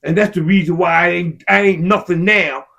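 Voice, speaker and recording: A man in heated casual discussion, impassioned and profane, his voice rising to shouts. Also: sits high, steady tone, moderate pace, creaky, highs cut off. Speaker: podcast co-host.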